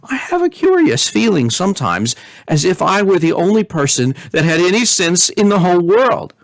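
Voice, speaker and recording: Man putting on a flippant, higher-pitched teenage princess. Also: big pitch swings, moderate pace, gravelly, no echo, full character voice. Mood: gleeful, eager. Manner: airy, teasing, exclamatory. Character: playful, flippant teenage princess, giggly and weightless.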